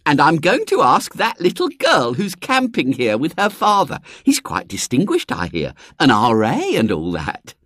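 A man's voice: fairly high pitch, wildly swooping pitch, moderate pace, few pauses, a bit bright, no echo, clean studio sound, voice pushed up, exaggerated voice. A man voicing a chatty, self-important village lady in a storybook reading. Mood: gossipy.

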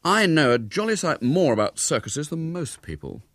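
A man's voice: mid-range voice, huge pitch swings, moderate pace, a bit bright, no room sound, clean studio sound, pitched above the performer's own voice, full character voice. A man voicing a stubborn, earnest schoolboy in a storybook, boastful and given to bluffing. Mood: mysterious, defiant. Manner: careless bluffing, mysterious, indignant.

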